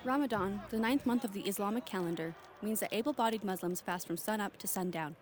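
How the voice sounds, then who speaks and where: A woman narrating a news feature with a clear, brisk read. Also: medium pitch, noisy background. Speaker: news reporter.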